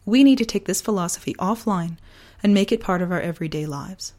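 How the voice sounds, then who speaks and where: A woman hosting a personal podcast, talking earnestly and deliberately, her voice partly gone from a sore throat. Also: on the low side, a little mellow. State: losing voice from a sore throat virus. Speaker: podcast host. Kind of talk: personal podcast, reflective essay and casual chat.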